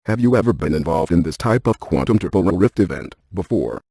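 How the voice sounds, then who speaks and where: A man voicing a brainy, unflappable ghost-hunting scientist in a video game, with precise, clinical, deadpan delivery. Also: deep voice, brisk, creaky, breathy edge, no room sound, studio quality, full character voice. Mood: clinical, matter-of-fact. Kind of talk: scripted game voice lines.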